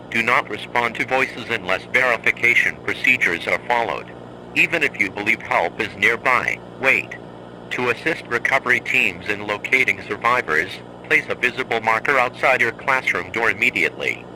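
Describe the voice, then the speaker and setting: A man playing a stern, composed emergency announcer in a horror drama, giving measured, clipped instructions as a scripted broadcast. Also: mid-range voice, animated, pushed and bright, slight background noise, rough recording. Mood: grave, measured.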